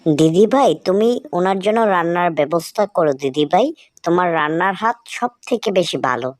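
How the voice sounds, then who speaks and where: Woman playing a dutiful low-voiced village wife. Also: expressive, brisk, no room sound, clean studio sound, lightly acted. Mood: reassuring. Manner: deferential, reassuring. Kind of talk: Bangla cartoon voice acting.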